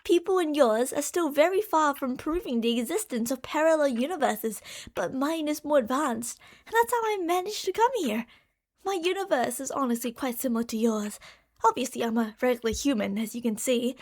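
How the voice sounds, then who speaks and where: A woman's voice: high voice, moderate pace, a little mellow, lightly acted. A woman playing an obsessive, lovestruck stalker from a parallel universe in an ASMR roleplay, coaxing and explaining to the listener with fond pet names and theatrical emphasis. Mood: eager, explanatory.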